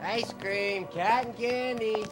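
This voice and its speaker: An actor playing an obliging boardwalk ice cream seller with a drawn-out hawker's call in a radio play. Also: male voice, sits high, faint music behind. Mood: businesslike. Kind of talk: dramatic radio-play acting.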